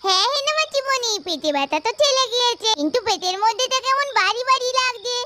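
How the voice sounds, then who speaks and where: Very high, lisping little doll girl. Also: expressive, fast talker, very noisy. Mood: plaintive. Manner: childish lisping baby talk. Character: little doll girl Pari, whiny and cute. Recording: loud children's music behind the voice.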